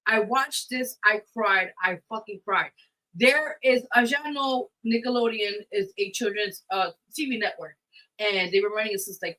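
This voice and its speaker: A woman's voice: on the high side, bright, forceful tone, low-quality recording. A woman hosting a casual live talk show, speaking in an emphatic, blunt way. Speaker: radio show host.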